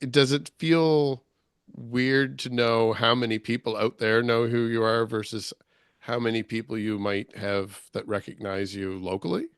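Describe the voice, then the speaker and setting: A man's voice: medium pitch, flat delivery, unhurried, smooth, dry recording, clean studio sound. A man hosting a casual interview, asking questions in a hesitant way with restarts. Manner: hesitant, many ums and restarts. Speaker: interview host.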